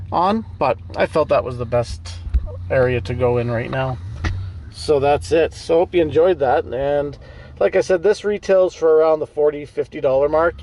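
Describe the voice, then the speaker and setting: A man with a slightly high voice hosts a casual vehicle vlog. He talks in a plainspoken, conversational way, as if walking a viewer through a piece of gear. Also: a little mellow, a little hiss or hum. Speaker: host of an off-road vehicle channel. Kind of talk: casual product demo vlog.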